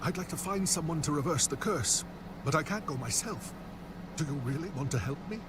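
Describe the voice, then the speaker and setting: An actor playing a cursed, anxious would-be knight in a video game, speaking with a gloomy, doom-laden delivery. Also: male voice, fairly high pitch, expressive, brisk, lightly acted. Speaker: game character. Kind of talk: voiced game dialogue. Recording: game audio with clear background noise.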